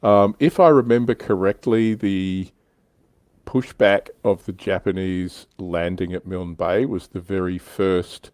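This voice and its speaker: A man's voice: low-pitched, fairly even intonation, unhurried, darkish tone, no echo. A man hosting a casual hobby livestream, telling stories in an explanatory way with some swearing. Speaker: livestream host.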